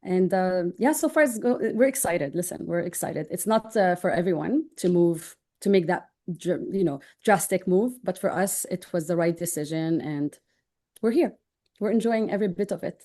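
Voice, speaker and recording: Mellow low-pitched woman in conversation. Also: moderate pace. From Jordan. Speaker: interview guest, online business owner. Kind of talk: conversational interview answers.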